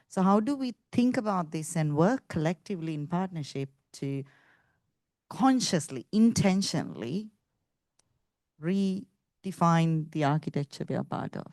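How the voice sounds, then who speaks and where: A woman's voice: low-pitched, darkish tone, no echo, clean studio sound. A woman speaking English as a second language, earnestly making her point on a discussion panel. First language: not English. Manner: earnest, thinking aloud with restarts.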